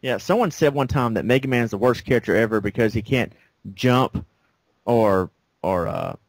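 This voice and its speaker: A man in a casual gaming chat, voice at an everyday middle pitch, recorded dry and close. He rambles through his points with plenty of fillers and frequent laughter. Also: big pitch swings, moderate pace, dry recording. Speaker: co-host of a game review show.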